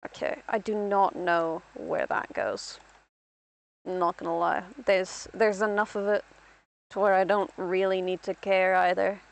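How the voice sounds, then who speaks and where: A woman's voice: mid-range voice, steady tone, a little slow, creaky, rough recording. A young woman from Ireland rambling through casual gameplay commentary as a streamer, self-deprecating and talking to herself.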